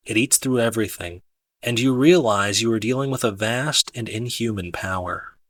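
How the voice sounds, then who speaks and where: A man narrating an audiobook in a measured, dramatic storytelling manner. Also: mid-range voice, sharp tone, no echo. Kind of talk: expressive scripted audiobook narration.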